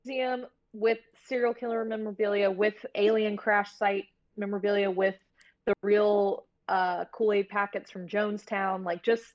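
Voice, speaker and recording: A woman's voice: medium pitch, little pitch movement, normal speed, dull audio. A woman talking casually and informally as a guest in a conversation over a remote call. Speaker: podcast guest, horror producer. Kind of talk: casual chat.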